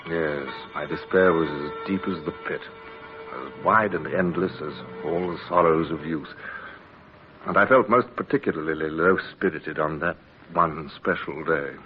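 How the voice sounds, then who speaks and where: Older officer narrating, muffled. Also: male voice, extremely low pitch, a little slow, faint music behind. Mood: wistful. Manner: reflective, amused. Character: older naval officer fondly narrating his youthful adventures. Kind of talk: radio drama narration. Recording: muffled noisy radio drama recording.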